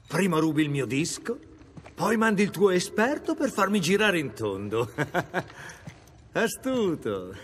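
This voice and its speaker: Smug, swooping warlord villain. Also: male voice, a little high. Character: smug, mock-courteous male warlord villain. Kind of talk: dramatic video game acting. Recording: noisy background with clicks.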